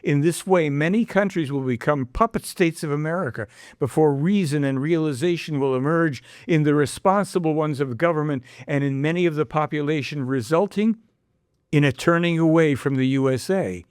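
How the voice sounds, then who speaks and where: A man's voice: on the high side, normal speed, a little mellow, no room sound, clean studio sound. A man hosting a podcast, reading a prophetic text aloud and breaking off with his own commentary and rhetorical questions. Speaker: podcast host. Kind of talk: reading aloud and casual discussion.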